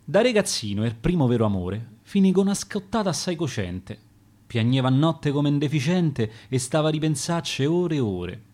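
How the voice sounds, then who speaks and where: A man's voice: fairly high pitch, sing-song, normal speed, a little mellow. A man with a Roman accent playing a disillusioned, grumbling cuckolded husband in verse. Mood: sour, aggrieved. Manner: sour complaint in verse.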